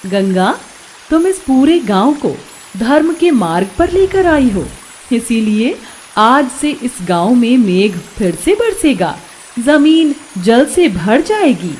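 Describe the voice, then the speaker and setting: A woman voicing a stern, solemn river goddess in an animated story. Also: fairly high pitch, takes it slowly, slight background noise. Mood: approving. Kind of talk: Hindi animated story dialogue.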